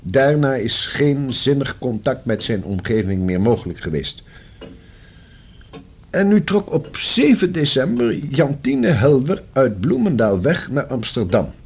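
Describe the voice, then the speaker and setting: An actor playing a formal, precise and long-winded notary in a Dutch radio play, with a slightly husky voice. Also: male voice, medium pitch, darkish tone, exaggerated voice. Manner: formal, precise, pedantic legal phrasing. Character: formal, discreet, long-winded male notary explaining a will.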